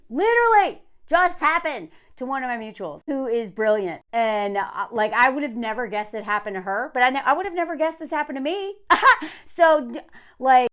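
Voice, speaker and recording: Woman, American, high-pitched, swooping intonation, casual vlog rant, sardonic, emphatic. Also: fairly bright tone. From southern United States. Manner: emphatic, sardonic, laughing often. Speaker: online content creator.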